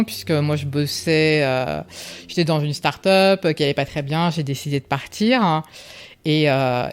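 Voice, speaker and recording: A woman's voice: sits low, smooth. A woman in her forties, a startup founder, talking casually and reflectively as an interview guest, often tagging her sentences. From Paris, France. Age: 40s. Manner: relaxed, reflective, tags sentences with "hein".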